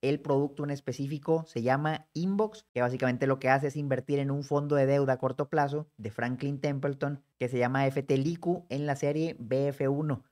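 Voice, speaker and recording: Guy in his 30s doing a finance tutorial, quick and chatty, talks right to you. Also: mid-range voice, fairly even intonation, darkish tone. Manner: brisk, conversational, addresses viewer directly. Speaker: personal finance educator. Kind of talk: explanatory screen-share tutorial.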